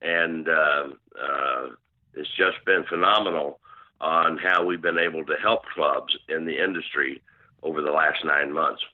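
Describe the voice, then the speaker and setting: A man's voice: extremely low pitch, fairly even intonation, measured pace. A man answering interview questions as a club management consultant, explaining steadily with many fillers. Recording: tinny phone line.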